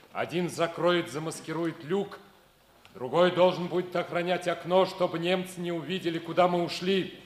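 An actor playing a grave Soviet lieutenant colonel who gives measured orders in a 1950s radio play. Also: male voice, on the high side, lightly acted. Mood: solemn resolve. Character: grave Soviet army lieutenant colonel commanding a besieged fortress. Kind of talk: 1950s Soviet radio stage drama acting.

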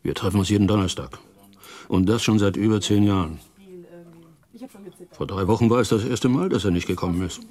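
A homely club chairman in a radio play, acted by a man, with a very low voice. He talks earnestly and reflectively, in a plain-spoken, puzzled way. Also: fairly quick, pauses often, a little mellow, natural voice. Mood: pensive, suspicious. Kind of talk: radio drama dialogue.

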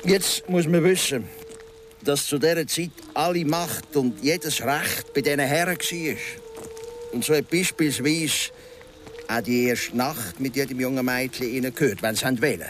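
An actor playing a philosophising local guide and storyteller in a Swiss German radio drama, speaking in a deliberate, dramatic way. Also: male voice, medium pitch, fairly bright tone, soft background music, natural voice. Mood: grave, dramatic. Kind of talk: radio drama, telling a folk legend.

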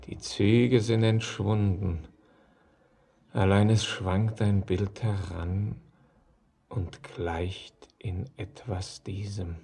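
An actor playing an ancient, weary, ghostly old miner in a poetic radio drama, gravelly and husky, speaking in a halting declamation. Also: male voice, deep voice, a little mellow, full character voice. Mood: brooding, remote. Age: older.

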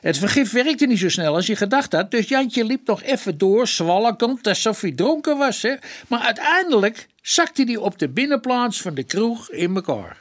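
An actor playing a plain-spoken, respectful police detective in a radio drama, explaining things colloquially. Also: male voice, high voice, very animated, moderate pace, few pauses. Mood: narrating grimly.

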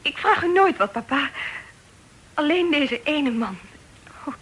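A high-voiced, pleading young girl. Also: lively intonation, unhurried, a bit bright. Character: pirate's sweet young daughter, earnest and pleading. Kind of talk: Dutch radio drama acting. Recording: noisy old radio recording.

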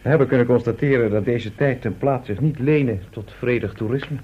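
Casting: official, decisive male visitor from the future, played by a man, mid-pitched, muffled vintage radio drama. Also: brisk, slightly rough, close to an everyday voice. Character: formal, decisive male time traveller from the future. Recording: muffled old radio recording.

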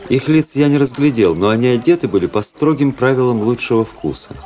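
An actor playing a cool, ironic young Russian officer who narrates his memoirs in a measured, detached way in a radio drama. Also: medium pitch, animated, warm tone, natural voice. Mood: detached, observant. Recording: radio play with prominent music behind. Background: music.

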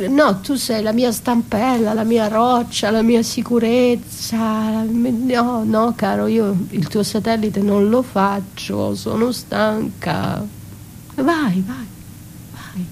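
A hurt defiant wife, theatre monologue, slow n halting, intense, bit of noise behind. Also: female voice, fairly high pitch, darkish tone, rough recording. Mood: scornful, weary. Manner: dramatic, halting, intense, dropping to breathy whispers. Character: wounded, betrayed wife, bitter and defiant. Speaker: stage actress performing a solo.

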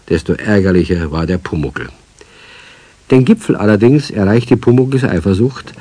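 Storyteller with a dark, husky voice. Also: male voice, lowish voice, no echo. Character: storyteller of a children's radio play. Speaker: narrator. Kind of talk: scripted children's story narration.

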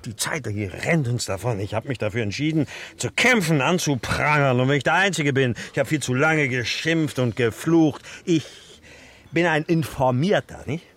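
An actor in his fifties playing an agitated, self-righteous crusader in a radio drama, pompous and husky. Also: mid-range voice, moderate pace, fairly bright tone. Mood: grandly earnest. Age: 50s. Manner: pompous, blustering. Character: agitated self-righteous middle-aged crusader, grandly indignant.

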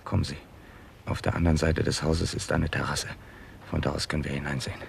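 An actor playing an unflappable, intuitive police detective in a 1960s German crime radio play, gravelly, dry and deliberate. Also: male voice, extremely low pitch, flat delivery, background noise, heavily acted. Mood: hushed, tense. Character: Mr. Nutting, unflappable, intuitive Scotland Yard detective.

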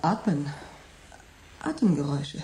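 A woman's voice: low voice, very animated, warm tone, slight background noise, poor audio. An actress playing a tough, matter-of-fact woman in a radio drama. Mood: shaken. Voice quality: brisk, matter-of-fact delivery. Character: tough, sharp-tongued woman named Margaret. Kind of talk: radio play acting.

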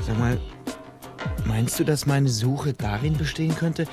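An actor playing a naive, excitable young prince in a fairy-tale radio play, earnest and melodramatic. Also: male voice, medium pitch, unhurried, natural voice. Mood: earnest, eager. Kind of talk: German radio play acting. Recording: studio radio play with music behind.

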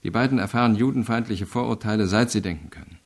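Low, gravelly, earnest investigator. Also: male voice. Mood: earnest, intense. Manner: measured, deliberate, pausing explanations. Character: earnest, reflective male investigator reporting to his superior. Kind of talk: radio crime drama acting.

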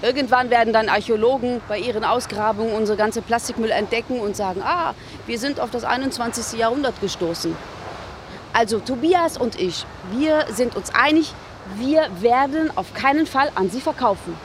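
A woman's voice: on the high side, moderate pace, a little hiss or hum, natural voice. An actress playing a passionate, stubborn woman with an emphatic manner in a naturalistic radio drama. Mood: earnest, determined. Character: passionate, stubborn woman refusing to sell her business.

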